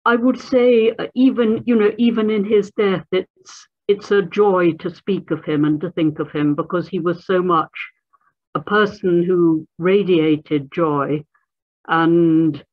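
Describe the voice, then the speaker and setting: An adult speaker giving a remembrance in an earnest, halting way, restarting phrases. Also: medium pitch, steady tone. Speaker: speaker at a memorial. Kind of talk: remembrance speech. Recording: tinny phone-like call audio.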